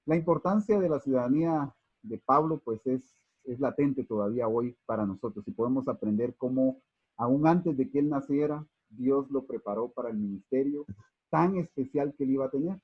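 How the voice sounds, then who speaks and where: Husky-voiced man teaching Bible class. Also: medium pitch, normal speed, no echo, phone-quality sound. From Guatemala. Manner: hesitant, many fillers and restarts, addresses listeners as brothers. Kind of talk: unscripted Bible class lecture.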